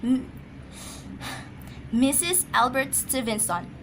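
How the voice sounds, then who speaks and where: A woman's voice: high-pitched, expressive, slow pace, background noise. A woman acting an anxious, imperious, easily flustered wife in an amateur radio drama, agitated and stammering.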